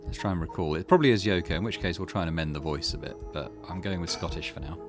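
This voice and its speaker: A man's voice: sits low, rapid-fire. A man giving casual let's play commentary on a game, musing and thinking aloud. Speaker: gaming channel host. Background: game music.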